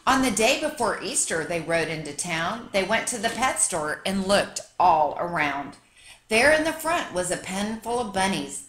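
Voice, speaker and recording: A woman reading a children's picture book aloud like a teacher, with expressive, dramatic storytelling for young listeners. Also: on the low side, slight background noise, poor audio.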